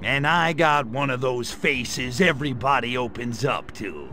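A man voicing a sarcastic gray alien invader in a video game cutscene, delivering deadpan quips. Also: medium pitch, a little slow, faint music behind. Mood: sardonic.

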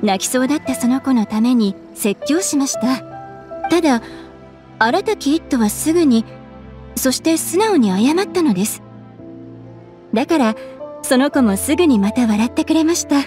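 An actress playing a calm, polite, composed young townswoman in a video game. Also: high voice, moderate pace, pauses often, soft background music.

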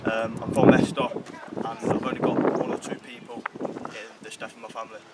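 Man, footballer giving an outdoor interview, level monotone, recorded from a distance with loud noise behind. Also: fairly low pitch, a little fast. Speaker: football player. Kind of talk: casual interview answer.

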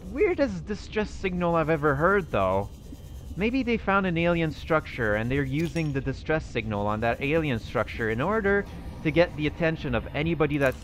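A man's voice: on the high side, fairly bright tone, slight background noise. Man musing aloud over a survival game. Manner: thinking aloud to himself, musing and hesitant. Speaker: roleplay gaming channel host. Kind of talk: in-character survival game roleplay.

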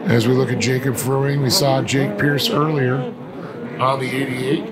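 A man's voice: medium pitch, flat delivery, measured pace. A man giving live watercraft race commentary as an announcer, in colloquial, folksy racing slang. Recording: loud engine noise behind voice. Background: loud watercraft engines outdoors.

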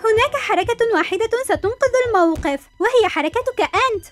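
A woman's voice: very high voice, lively intonation, a little fast, faint music behind. A woman voicing a sensible young superhero girl in a cartoon dub, earnest and reassuring. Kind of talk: cartoon dubbing.

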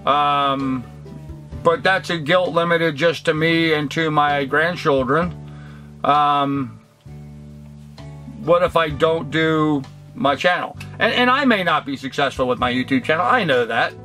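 An older man talking earnestly to the camera from a cluttered workshop, reflective and halting with many ums and restarts. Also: on the high side, sharp tone, faint music behind. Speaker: vlogger. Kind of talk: casual talk to camera. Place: cluttered indoor workshop room.

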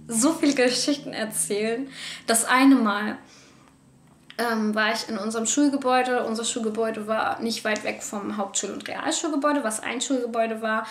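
A young woman from Germany, telling personal stories to the camera from her sofa, candid and animated. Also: on the high side, moderate pace, reverberant, a little hiss or hum. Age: young adult. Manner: candid, animated, gestures a lot. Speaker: vlogger. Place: living room, on a sofa.

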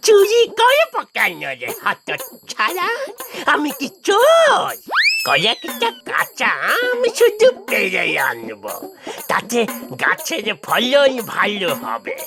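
Cranky old grandparent, wildly swooping pitch. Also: female voice, huge pitch swings, takes it slowly, vocal fry, music in the background, full character voice. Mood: scheming, stubborn. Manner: scolding, muddled, exclaiming. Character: cranky, hard-of-hearing, mischievous old grandparent. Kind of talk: Bengali comic cartoon voice acting.

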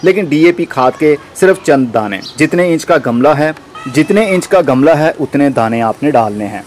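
A man's voice: on the high side, animated, normal speed, a little mellow. Man giving a gardening tutorial, outdoor noise. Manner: explanatory, instructing the viewer step by step. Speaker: gardening channel host. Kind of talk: informal how-to gardening tutorial narration.

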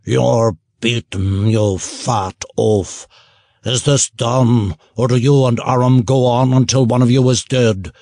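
A man voicing a menacing male gnoll ship captain, speaking slowly with a growl. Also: mid-range voice, fairly even intonation, darkish tone, no echo, voice pushed up.

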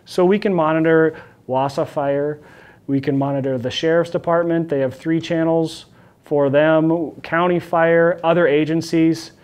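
Middle-aged male police officer, slightly higher-pitched voice, informal explainer delivery to camera. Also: steady tone, unhurried, a little mellow, clean tone. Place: small office meeting room, indoors.

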